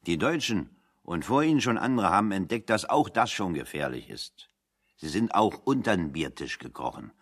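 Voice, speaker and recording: An actor playing a wry, talkative man in a German radio play, speaking in a deliberate, ironic, anecdotal way. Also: deep voice, very animated, full character voice. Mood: dry irony.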